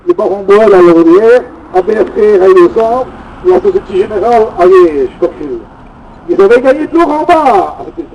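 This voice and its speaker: Man, Belgian French accent, very high lively voice, activist speech, emphatic, distant muffled outdoor recording. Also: slight background noise. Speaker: political activist speaker at a citizens' rally. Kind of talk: political speech from notes to a street gathering, with asides. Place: outdoor public gathering.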